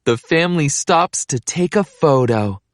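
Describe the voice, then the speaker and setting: A woman reading a children's picture book aloud in an expressive, deliberate way. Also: sits low, dry recording, studio quality. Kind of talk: scripted children's story read-aloud.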